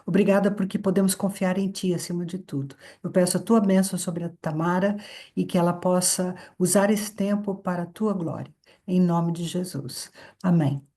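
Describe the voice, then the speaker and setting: A woman with a low, mellow voice leads a calm, earnest prayer over a video call. Her phrases are unhurried and reverent. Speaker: prayer leader. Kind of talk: spoken prayer.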